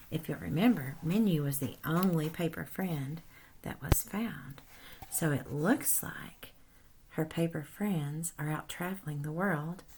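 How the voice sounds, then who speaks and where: A woman teacher reading a storybook aloud to young children in a deliberate, instructive way with animated emphasis. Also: deep voice, gravelly, slight background noise. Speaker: first grade teacher.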